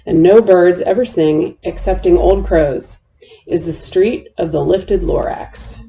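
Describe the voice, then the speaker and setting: A woman reading a rhyming children's story aloud in a deliberate, expressive storytelling manner. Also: lowish voice, vocal fry, dull audio.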